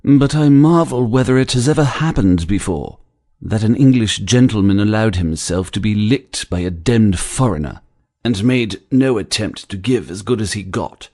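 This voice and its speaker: A woman's voice: very deep voice, animated, normal speed, warm tone, heavily acted. A woman voicing a weary, good-humoured English baronet who speaks in sleepy, drawly, affected tones. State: weak and exhausted. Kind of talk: audiobook character voice.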